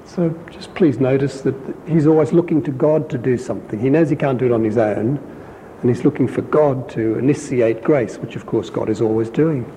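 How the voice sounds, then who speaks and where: A man reading scripture aloud in a measured, deliberate way, pausing to explain points to his listeners as a Bible teacher. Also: mid-range voice, mellow, long reverb tail, slight background noise, rough recording. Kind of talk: slow scripture reading with brief commentary.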